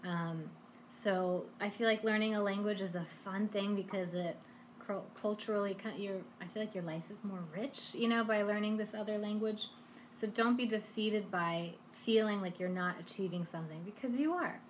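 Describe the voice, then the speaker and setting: A woman's voice: mid-range voice, steady tone, normal speed, slight background noise. A woman hosting a language lesson live stream, talking in a casual, chatty and encouraging way.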